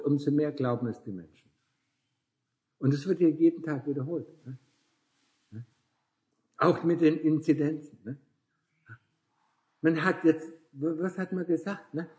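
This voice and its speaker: A man's voice: a little high, warm tone, low-quality recording. A man in his sixties talking casually as a Buddhist teacher with visitors, tagging nearly every phrase with a questioning "ne?" and chuckling often. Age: 60s.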